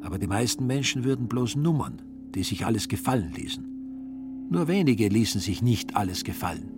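A man reading literary radio narration in a measured storytelling manner. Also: on the low side, lively intonation, music in the background. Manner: measured storytelling in reported speech.

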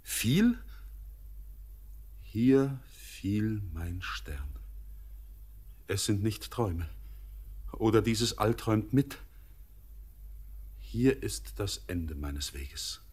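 An actor playing a restless young miner who speaks in a brooding, hushed way in a classic radio play. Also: male voice, on the low side, animated, extremely slow, long pauses, husky, full character voice. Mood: haunted.

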